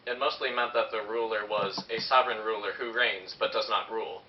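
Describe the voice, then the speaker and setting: Echoey, low-voiced male narrator. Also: steady tone, fairly quick. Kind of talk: scripted history narration. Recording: echoey, muffled, noisy, from far off.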